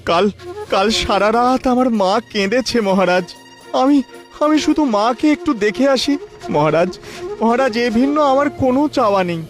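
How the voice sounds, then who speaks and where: Cartoon casting: male actor as plucky, wily young brother, high voice, singsong pleading. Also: expressive, normal speed, faint music behind. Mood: earnest, pleading. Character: plucky, crafty young brother sentenced to death. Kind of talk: Bengali cartoon dubbing.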